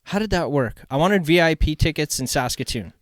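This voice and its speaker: A Canadian man hosting a podcast, telling rambling, slangy stories in an excitable, casual way. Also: a little high, a little fast, no echo, clean studio sound. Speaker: podcast host. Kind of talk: casual chat, storytelling.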